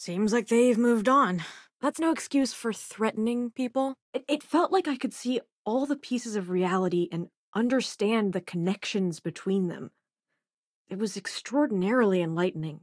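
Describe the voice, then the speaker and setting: A woman's voice: mid-range voice, normal speed, no room sound, studio quality, natural voice. A woman playing a self-assured young robotics researcher aboard a spaceship in a video game, with a wry, casual delivery.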